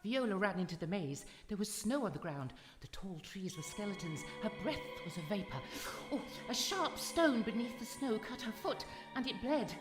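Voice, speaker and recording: A woman's voice: mid-range voice, lively intonation, normal speed, few pauses, close to an everyday voice. A woman playing a theatrical auntie who tells ghostly tales in a live radio drama, dramatic and relishing, drawing out the suspense. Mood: breathless suspense. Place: live performance in a reverberant hall. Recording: echoey hall with long reverb, music behind.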